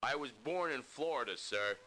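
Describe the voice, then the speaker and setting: An actor playing a dim, obedient young army recruit. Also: male voice, medium pitch, lively intonation, normal speed, bright, forceful tone, poor audio. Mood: naive. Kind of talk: 1990s adventure game voice acting.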